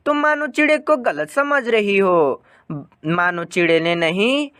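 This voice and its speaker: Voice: mid-range voice, fairly bright tone, no room sound. A narrator voicing a blunt, demanding bully of a male crow in a cartoon moral story.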